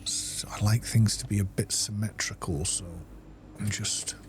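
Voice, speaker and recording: A man's voice: lowish voice, little pitch movement, measured pace, noisy background. A man giving casual gameplay commentary, unhurriedly and methodically thinking aloud as he plays. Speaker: video game streamer.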